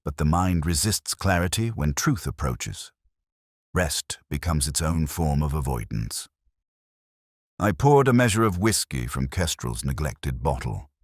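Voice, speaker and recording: A man with an extremely deep voice narrates a first-person detective story. His delivery is measured and brooding, with wide swings of intonation for a literary, scripted read. The sound is close and dry. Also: no echo.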